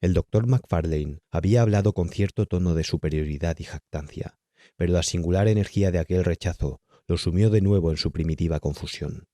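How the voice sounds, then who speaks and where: A man's voice: low voice, a little fast, mellow, dry recording. A man with a Castilian Spanish accent reading a literary horror tale as an audiobook narrator, close to the microphone. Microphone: close, dry studio-style microphone.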